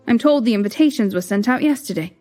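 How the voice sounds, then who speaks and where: Woman playing an eager, high-voiced loyal maid. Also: lively intonation, fast, dark tone, faint music behind, lightly acted. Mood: excited. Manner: eager, emphatic, servile. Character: loyal, gossipy young maid, indignant for her mistress. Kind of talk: melodramatic audio drama acting.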